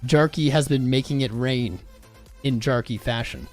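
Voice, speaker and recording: Casual male streamer, middle pitch, slangy and rambling, voice worn thin, soft music playing in the background. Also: normal speed. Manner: rambling, slangy, talks straight to chat. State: losing his voice. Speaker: crypto livestream host. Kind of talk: casual livestream chat.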